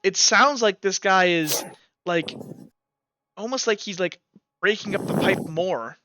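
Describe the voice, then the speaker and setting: A man's voice: high voice, unhurried, noisy background. A young man narrating a tabletop game as game master over a voice call, rambling with fillers and restarts. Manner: rambling, many fillers and restarts, laughs often. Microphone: home microphone on voice call.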